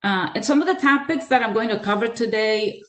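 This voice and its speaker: A woman in her sixties, from the Dominican Republic and raised in New York City, giving a measured, earnest webinar presentation over a video call with frequent fillers. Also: a little high, fairly bright tone. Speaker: guest speaker, author.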